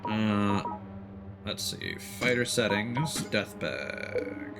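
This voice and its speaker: Male streamer, lowish voice, animated intonation, casual thinking-aloud commentary, game music behind. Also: drawn-out words. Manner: thinks aloud, chatting to viewers. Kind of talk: casual live gameplay commentary. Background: video game music.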